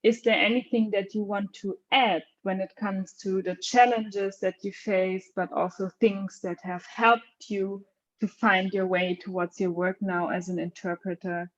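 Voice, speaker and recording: A woman's voice: mid-range voice, steady tone, measured pace. Woman, moderator, mid-range voice, informal, encouraging, slightly muffled video-call sound. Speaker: host, moderator. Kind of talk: moderating an online webinar. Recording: slightly dull online call audio.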